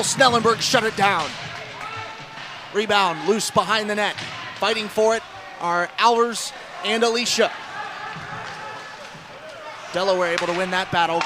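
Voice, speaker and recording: A man doing live radio play-by-play commentary of an ice hockey game, describing the action in rapid detail and lifting his voice with the action. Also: high-pitched, background noise. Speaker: play-by-play sports announcer.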